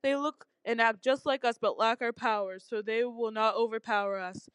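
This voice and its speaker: A woman's voice: on the high side, steady tone, moderate pace, fairly bright tone, clean tone, dry recording, close to an everyday voice. A woman voicing a commanding male king of the gods in a storybook, given to bellowing and growling.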